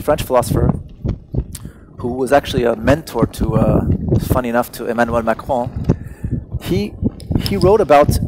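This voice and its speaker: A man whose mother tongue is Persian gives a conversational public lecture in English from a stage, searching for words and stressing them deliberately. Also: mid-range voice, heavy background noise. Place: large dim hall with stage and seated audience.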